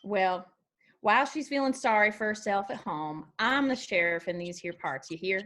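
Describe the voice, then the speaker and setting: A woman's voice: medium pitch, expressive, moderate pace, fairly bright tone, lightly acted. A woman playing a wry, wistful young bar waitress in a screenplay table read, dry and folksy. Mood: wry, bossy.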